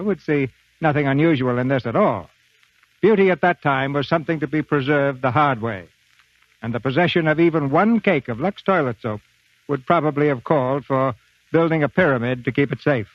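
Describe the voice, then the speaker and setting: An American man hosting and narrating a 1940s radio theater program in a formal, measured, polished announcer style. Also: medium pitch, no echo, highs cut off. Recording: dry, band-limited 1940s radio broadcast.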